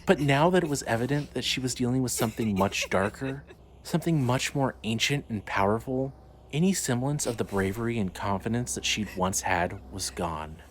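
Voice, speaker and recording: A man's voice: medium pitch, low-quality recording. A man narrating a scripted dramatic story as the narrator of an audio drama. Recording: faint ambient noise.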